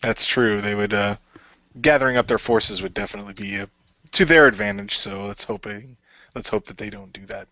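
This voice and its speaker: A man's voice: on the low side, fairly quick, sharp tone, dry recording, highs cut off. Man playing an awkward young hero, tense voice. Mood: cautious. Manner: hesitant, stiffly polite. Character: reserved, awkward, uncomfortable young male hero.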